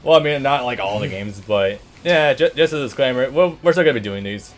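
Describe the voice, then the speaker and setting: A man's voice: mid-range voice, expressive, brisk. A man hosting a let's play, chatting casually as he comments on a video game. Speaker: host of a gaming let's play. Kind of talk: casual gameplay commentary chat.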